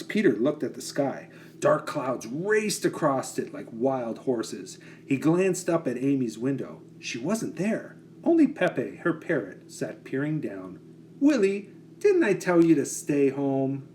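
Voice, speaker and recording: A man reading a children's picture book aloud with expressive, dramatic phrasing. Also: mid-range voice, unhurried, slight background noise.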